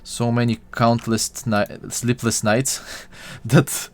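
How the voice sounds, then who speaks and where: A man's voice: mid-range voice, fairly even intonation, takes it slowly. A young man from Bulgaria chatting with his live-stream viewers while he models, full of fillers and restarts.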